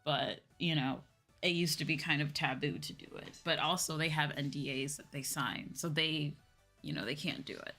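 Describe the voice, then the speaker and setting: Female streamer, low register, informal and explanatory, talking to chat over faint music. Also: normal speed, sharp tone. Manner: informal, explanatory, often repeats points and checks with "right?". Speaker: streamer, VTuber host. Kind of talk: casual livestream chat answering viewers.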